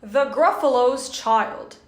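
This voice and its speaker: A woman storyteller reading a children's storybook aloud in a deliberate, expressive way. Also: a little high. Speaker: storyteller and host. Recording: roomy echo.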